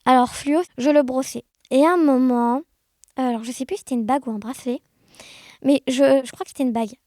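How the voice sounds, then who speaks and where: A woman chatting casually as an interview guest, telling anecdotes in an animated, conversational way. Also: sits high, fairly quick, dry recording, clean studio sound. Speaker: podcast guest, blind guide dog user sharing experiences.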